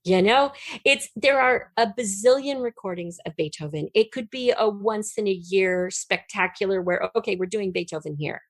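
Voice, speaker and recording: A woman's voice: medium pitch, moderate pace, dry recording. A middle-aged woman being interviewed, telling stories in an emphatic, candid, conversational way. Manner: emphatic, candid, laughs readily. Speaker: interview guest, audio drama writer. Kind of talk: animated conversational storytelling in an interview.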